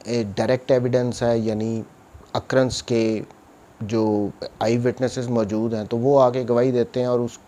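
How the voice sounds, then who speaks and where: Pakistani man lecturing, mellow voice. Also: medium pitch. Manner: explains step by step with questions he answers himself. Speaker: law lecturer. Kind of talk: explanatory lecture mixing in English legal terms.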